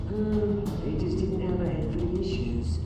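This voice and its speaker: A man's voice: high voice, lively intonation, moderate pace. An actor playing a gloating, menacing criminal showman in a video game, theatrical, mock-polite and taunting. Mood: gleefully cruel. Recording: echoey, music behind.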